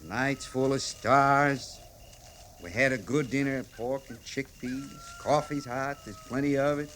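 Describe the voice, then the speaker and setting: A man's voice: medium pitch, unhurried, fairly bright tone, natural voice. Wry, folksy cavalry sergeant, acted by a man. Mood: wry, easygoing. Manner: drawling, laconic, drily understated. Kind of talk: classic old time radio drama acting. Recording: vintage radio recording with noise.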